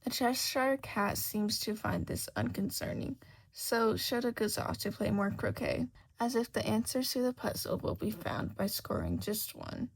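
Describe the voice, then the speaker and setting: A woman's voice: medium pitch, wildly swooping pitch, unhurried, vocal fry, breathy edge, poor audio. A woman reading a scripted story aloud as an amateur audiobook narrator. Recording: faint background noise.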